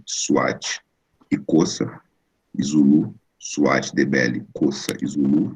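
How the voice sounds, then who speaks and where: A man in his forties with a Brazilian accent, speaking as guest in an online talk and explaining ideas in a relaxed, conversational way over a video call. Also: a bass voice, husky, low-quality recording. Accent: Brazilian Portuguese. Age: 40s. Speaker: guest philosopher. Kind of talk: online lecture.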